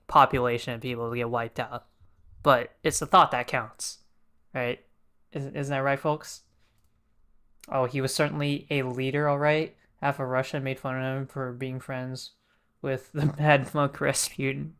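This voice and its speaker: Very deep-voiced woman streaming casually. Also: a bit bright, smooth. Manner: jokey, playful, laughs often. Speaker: game streamer. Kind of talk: casual livestream reactions to a game.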